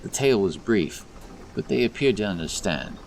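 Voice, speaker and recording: A man's voice: on the low side, lively intonation, normal speed, gravelly, slight background noise, poor audio. A man narrating a scripted fantasy story as the storyteller of an audio drama.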